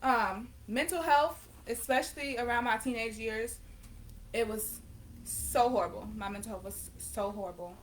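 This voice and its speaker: A woman's voice: fairly high pitch, normal speed, slight background noise, low-quality recording. A woman of nineteen talking casually to her viewers, rambling and correcting herself as she goes. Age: late teens. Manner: rambling, self-correcting, conversational. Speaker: vlogger and painter. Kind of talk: casual chat to camera.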